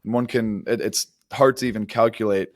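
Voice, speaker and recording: A man's voice: on the low side, fairly even intonation, a little fast, dry recording, studio quality. A man co-hosting a podcast, explaining startup news in an articulate, semi-scripted way. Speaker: podcast co-host.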